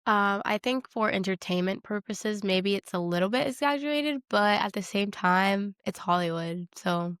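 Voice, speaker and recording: A woman's voice: medium pitch, moderate pace, few pauses, a bit bright, clean tone, dry recording, clean studio sound. A woman co-hosting a podcast in casual chat, conversational with emphatic, slangy reactions. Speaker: podcast co-host. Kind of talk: casual chat about a TV show.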